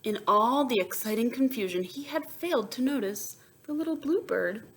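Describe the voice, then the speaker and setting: Expressive, high-voiced woman reading a picture book. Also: normal speed, slight background noise. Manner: expressive, engaging listeners with questions and asides. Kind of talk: animated read-aloud storytelling for children. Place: indoors, book held up to camera.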